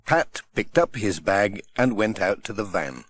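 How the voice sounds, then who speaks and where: A male narrator reads a children's story at a slow, measured pace. His pitch moves about expressively, and there is faint noise on the recording. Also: expressive. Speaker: audiobook narrator. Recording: faint noise, old audiobook transfer.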